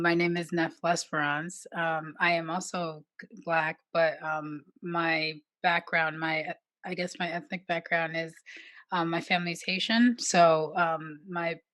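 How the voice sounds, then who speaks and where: A woman with a low voice, speaking as a panel guest. She talks hesitantly, full of fillers and self-corrections, with a light laugh. The sound is dry and close. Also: flat delivery, a little slow, a bit bright, dry recording. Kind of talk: casual panel conversation.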